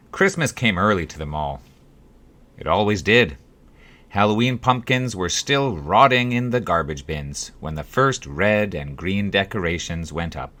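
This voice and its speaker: A man narrates a first-person story in a low, bright voice. His delivery is scripted and wry, with dry humor. The pitch moves widely from phrase to phrase, and he leaves dramatic pauses. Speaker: author reading his own book.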